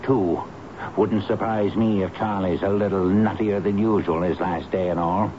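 A fairly low male voice for an irritable building boss, played by an actor. He grumbles and snaps out orders in old radio-play style. The sound is dull and clearly noisy. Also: fairly even intonation, normal speed, natural voice. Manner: brusque, grumbling, commanding. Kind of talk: 1970s radio drama acting.

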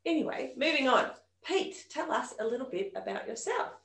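A woman's voice: high voice, sing-song, normal speed, fairly bright tone. A woman who hosts a podcast. She chats with her guest and encourages him along. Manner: chatty, encouraging interviewer. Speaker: podcast host. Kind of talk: podcast hosting and interviewing. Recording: echoey room, faint music.

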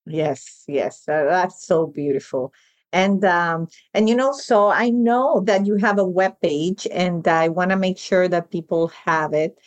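Woman, podcast host, thoughtful, repeats words, lowish voice. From Venezuela. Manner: thoughtful, halting, repeats words as she thinks. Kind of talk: podcast interview conversation.

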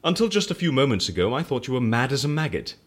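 An eccentric agency man who takes on odd clients. His voice is rough-edged and husky, with a very lively rise and fall. He mixes quaint exclamations with dry, businesslike asides in audio drama style. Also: medium pitch, fast talker. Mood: curious, businesslike. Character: quirky male case handler at a disappearance agency.

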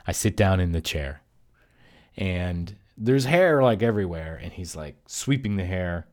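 Man, lowish voice, casual storyteller, rambling, lots of fillers. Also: a little mellow. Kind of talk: casual first-person storytelling.

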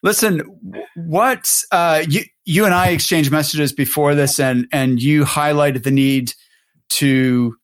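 A man from Canada with a mixed Canadian and British accent, hosting a podcast interview and reading a friendly scripted introduction. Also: on the high side. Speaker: podcast host.

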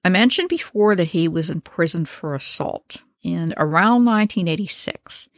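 Woman, low voice, dry close mic, chatty true crime storytelling w/ snarky asides. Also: normal speed, no room sound. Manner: wry, conversational, offhand asides. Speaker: true crime podcast host. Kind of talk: casual storytelling narration.

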